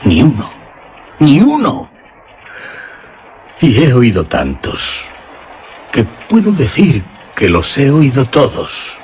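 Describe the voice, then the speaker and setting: An actor playing an exacting, impatient wandering musician with emphatic, theatrical radio drama delivery. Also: male voice, mid-range voice, wildly swooping pitch, slow pace, pauses often, darkish tone, slight background noise. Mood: disappointed, emphatic. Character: weary male musician, exacting and impatient pilgrim.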